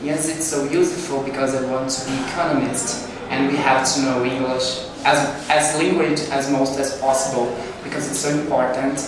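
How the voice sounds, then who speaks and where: A man's voice: medium pitch, little pitch movement, moderate pace, few pauses, crowd noise. Eager man with Brazilian accent. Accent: Brazilian Portuguese. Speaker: economics-minded student interviewee. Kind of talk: class project interview. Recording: roomy echo, distant mic, noise.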